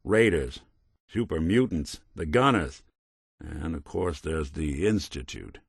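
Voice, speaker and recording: A man's voice: a bass voice, lively intonation, slow pace, vocal fry, breathy edge, dry recording, clean studio sound, full character voice. A man playing a world-weary android private detective in a video game, tough but kind, with wry, clipped hardboiled phrasing. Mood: investigative. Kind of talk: noir detective game dialogue.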